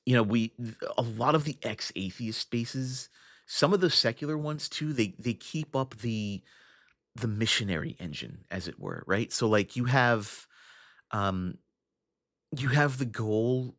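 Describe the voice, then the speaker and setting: A man's voice: mid-range voice, expressive, unhurried, a bit bright, no echo, clean studio sound. A young adult man hosting a casual livestream, thinking aloud with frequent restarts and fillers as he answers his chat. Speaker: livestream host. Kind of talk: casual livestream chat reading viewer comments.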